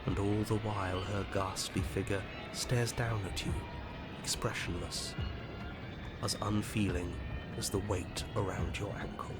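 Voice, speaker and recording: Man acting as horror game narrator, low male voice, grave, ominous, deliberate, music behind. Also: steady tone, natural voice. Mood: grim. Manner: deliberate, ominous, literary delivery. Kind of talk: dramatic scripted horror narration.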